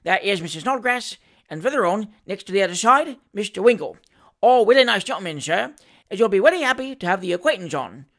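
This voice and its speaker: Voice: mid-range voice, expressive, brisk, fairly bright tone. A storybook reader voicing a cheeky, glib male manservant with a Cockney accent and a familiar manner. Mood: breezy, familiar.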